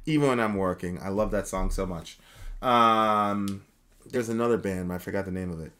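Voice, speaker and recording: A man from Jamaica, co-hosting a casual live chat, animated and laughing often as he reads comments. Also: sits low, moderate pace. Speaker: co-host.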